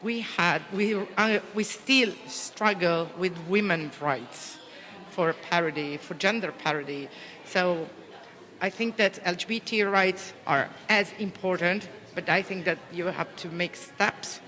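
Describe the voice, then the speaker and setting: Italian policewoman, halting, echoey hall. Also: lowish voice, fairly bright tone. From Italy. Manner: halting, searching for words, many restarts. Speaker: police officer. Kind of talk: interview answers, conference delegate.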